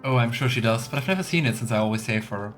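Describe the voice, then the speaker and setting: A man's voice: medium pitch, fast, faint music behind. A man doing casual let's play commentary, thinking aloud and musing as he role-plays.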